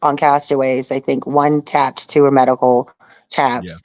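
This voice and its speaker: A woman's voice: a bass voice, level tone, a little slow, a little mellow, dry recording, muffled recording. A woman talking casually and emphatically as an interview guest, often checking that the listener follows. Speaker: guest, reality survival show contestant.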